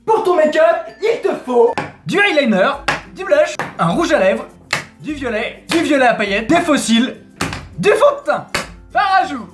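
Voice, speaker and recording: Brash high-voiced woman mocking a makeup tutorial. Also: very animated, takes it slowly, pauses often, echoey room, over music. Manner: brash, mocking, shouting punchlines and laughing. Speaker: comic presenter of a parody makeup tutorial. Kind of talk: crude comic tutorial narration.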